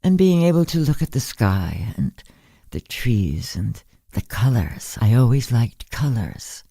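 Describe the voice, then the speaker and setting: Slow, mellow, frail ancient woman, voiced by a reader. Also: extremely low pitch, sing-song, gravelly, husky, no echo, clean studio sound, full character voice. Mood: dispassionate, reflective. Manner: measured, polite, composed. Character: ancient, frail, blind bedridden woman, calm and dispassionate. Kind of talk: audiobook story reading.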